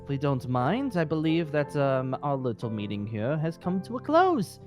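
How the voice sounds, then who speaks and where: A man's voice: fairly high pitch, sing-song, moderate pace, faint music behind. A man playing a haughty, theatrical wizard with formal flourishes and sarcasm, in improvised fantasy roleplay. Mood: courtly.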